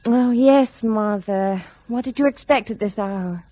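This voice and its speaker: An actress playing a sardonic, exasperated grown daughter in a radio drama, answering tersely. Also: female voice, medium pitch, a little slow, background noise, close to an everyday voice. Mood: irritated, sarcastic. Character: curt, exasperated grown daughter and pilot's wife. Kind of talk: BBC radio drama acting.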